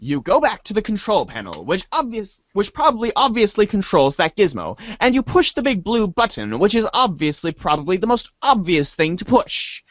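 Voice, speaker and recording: A man's voice: sits high, sing-song, moderate pace, few pauses, a bit bright, dry recording, dull audio. A man giving a theatrical dramatic reading of scripted narration, now and then stumbling and rereading words. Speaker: voice reader in a webcomic reader's theater.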